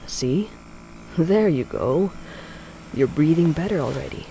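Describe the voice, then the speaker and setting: A man acting a caring young boyfriend in an audio roleplay, soothing his girlfriend in a gentle, often hushed and breathy way. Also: a little high, expressive, measured pace, a little hiss or hum, rough recording. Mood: reassuring.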